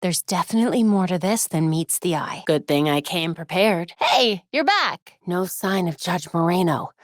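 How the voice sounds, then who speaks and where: An actress playing a young, determined vigilante superheroine in a video game, with an American accent, caring and dryly witty in an earnest, conversational way. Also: female voice, lowish voice, lively intonation, normal speed, no echo, clean studio sound, close to an everyday voice. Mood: focused, investigative. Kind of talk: scripted game voice lines.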